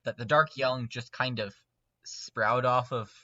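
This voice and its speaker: Excitable podcast guy, bright forceful voice, emphatic, really dry sound thats a bit dull. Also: mid-range voice, no echo. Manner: animated, emphatic, quick to laugh. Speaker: podcast panelist. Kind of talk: casual, excitable fan chat.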